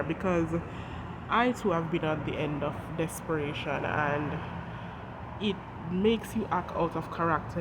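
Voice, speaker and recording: A host with a head cold, sounding congested, giving an earnest devotional talk that reads scripture and speaks directly to viewers. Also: mid-range voice. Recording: noisy outdoor traffic background.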